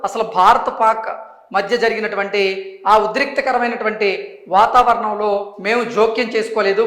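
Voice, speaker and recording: Very high-pitched man reading news. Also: sharp tone. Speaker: news presenter. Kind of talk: news report with commentary. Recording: roomy, echoing voice recording.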